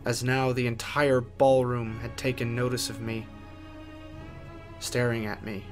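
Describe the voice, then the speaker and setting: A man narrating a first-person horror story in a theatrical way, with deliberate pauses. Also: mid-range voice, level tone. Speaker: writer and narrator. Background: music.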